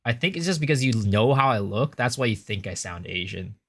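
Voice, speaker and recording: A young American man giving casual gameplay commentary as a livestreamer, chatting loosely and thanking viewers with big excited bursts. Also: mid-range voice, fairly quick.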